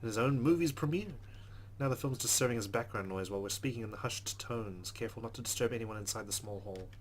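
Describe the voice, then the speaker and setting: A man's voice: medium pitch, quick pace, a little hiss or hum. A man hosting a let's play, expressively reading visual novel narration aloud with chatty casual asides. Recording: music playing behind voice. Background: game music with guitar.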